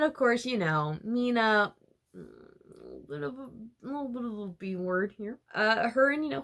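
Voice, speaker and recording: A woman's voice: on the high side, a bit bright. A young woman vlogger retelling a novel chapter by chapter in a chatty, giggly way, with lots of fillers. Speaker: book-summary vlogger.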